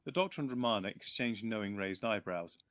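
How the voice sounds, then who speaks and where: A man narrating an audiobook in a measured storytelling manner, with lively character voices. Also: lowish voice, no room sound. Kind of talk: reading an audiobook aloud.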